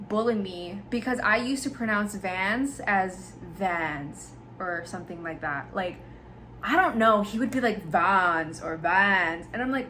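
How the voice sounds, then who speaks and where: A woman's voice: medium pitch, fairly bright tone, poor audio. Young woman from Hawaii, podcast host, casual and candid, rambling with fillers, faint noise behind. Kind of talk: casual personal storytelling.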